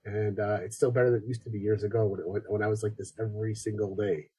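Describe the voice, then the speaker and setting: A man's voice: on the low side, flat delivery, fast talker, dark tone, breathy edge, no room sound. A man from Buffalo, New York, co-hosting a podcast in casual chat, his voice stuffed up and nasal from a cold. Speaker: podcast co-host.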